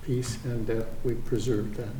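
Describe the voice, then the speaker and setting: A man on a town commission asking deliberate, probing questions at a public meeting in a roomy hall. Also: medium pitch, flat delivery, dark tone, a little hiss or hum. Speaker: commission member.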